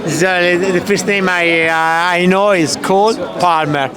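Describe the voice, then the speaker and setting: A man's voice: high voice, a little slow. A male football fan from Italy with an Italian accent, giggly and speaking simple broken English. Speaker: football fan being interviewed. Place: outdoors outside a stadium. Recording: loud outdoor crowd and traffic.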